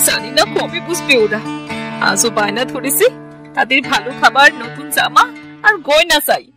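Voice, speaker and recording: A woman's voice: high-pitched, lively intonation, normal speed. A woman voicing a worried, long-suffering village mother in a cartoon, fretful and plaintive. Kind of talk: cartoon voice acting. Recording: music under the voice.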